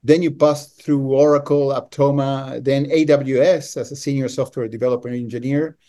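A man hosting a podcast in a casual, conversational tone. He asks his questions in a friendly way, filling the pauses with "uh" and restarts. His voice sits at an ordinary male pitch. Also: little pitch movement, warm tone. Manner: friendly, filler-laden questions. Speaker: podcast host.

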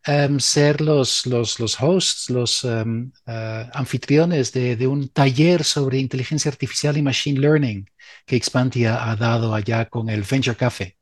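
A man's voice: mid-range voice, fairly even intonation, normal speed. A man co-hosting a casual podcast, hesitant as he speaks. He often doubles his words and leans on "em" and "eh." The sound is dry and close, with no room echo. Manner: hesitant, repeats words and fillers. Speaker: podcast co-host. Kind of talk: casual conversation.

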